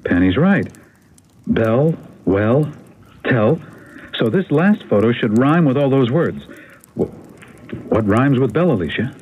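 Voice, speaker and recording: An actor playing an eager, methodical, slightly stammering husband on a treasure hunt in a radio mystery drama. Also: male voice, medium pitch, slow, long pauses. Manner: stammering, excitable. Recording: narrow-band, noisy radio drama audio.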